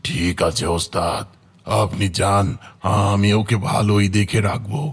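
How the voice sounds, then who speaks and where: A man's voice: lowish voice, fairly even intonation, unhurried. A man voicing a snappish, threatening bandit henchman in a cartoon. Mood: obedient. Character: hot-tempered henchman bandit, grown man, loyal to chief. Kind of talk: cartoon voice acting.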